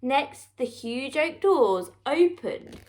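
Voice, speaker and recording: A woman narrates a children's story in a high, very animated voice. She takes her time, leaning on key words with theatrical emphasis, like a scripted storybook reading. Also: slow pace.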